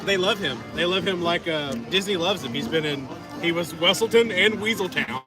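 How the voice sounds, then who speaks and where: A man's voice: sits high, normal speed, rough recording. A man with a Southern US accent chatting casually and laughing a lot at a theme park. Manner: animated, laughs a lot, jokes. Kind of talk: casual chat. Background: loud music.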